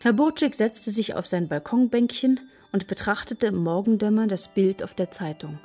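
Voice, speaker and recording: A woman's voice: mid-range voice, expressive, moderate pace, dark tone, faint music behind. A woman narrator reading scripted storytelling passages for a children's radio play.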